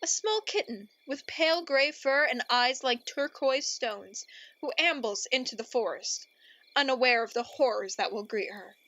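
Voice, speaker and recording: A woman's voice: a little high, normal speed, a bit bright, muffled recording. A woman playing a melodramatic, easily exasperated narrator in a radio play, grandiose and theatrical. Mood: ominous. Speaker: narrator and host.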